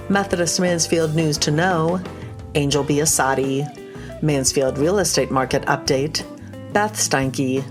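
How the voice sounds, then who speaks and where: A woman announcer reading scripted podcast credits. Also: sits low. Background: guitar music behind the voice.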